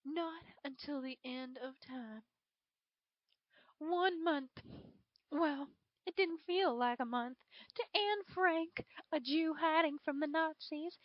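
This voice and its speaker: A woman's voice: sits high, slow pace, no echo, highs cut off. A woman voicing a drawling southern dragon. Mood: dramatic. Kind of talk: reading fan fiction aloud.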